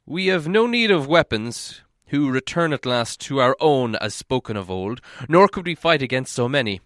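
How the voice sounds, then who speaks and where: Man playing a grand, booming dwarf king. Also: sing-song, normal speed, no room sound, studio quality. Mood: proud, solemn. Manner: solemn, grandly declaiming, loud. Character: proud, commanding dwarf king in exile. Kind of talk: audiobook character acting.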